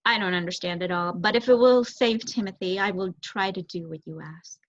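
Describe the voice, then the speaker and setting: A woman's voice: medium pitch, brisk, dull audio, lightly acted. A woman voicing an anxious, puzzled mother mouse in a children's storybook, earnest and hesitant. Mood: bewildered. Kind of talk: children's storybook read aloud.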